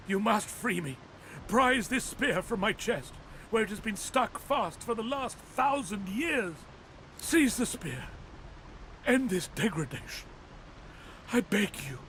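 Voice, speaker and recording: A man's voice: high-pitched, a bit bright, slight background noise, full character voice. A man playing a thousand-year-old skeleton knight in a fantasy game, anguished and noble, with theatrical, archaic speech. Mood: pleading. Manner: theatrical, archaic, grandiose phrasing. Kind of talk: dramatic fantasy game voice acting.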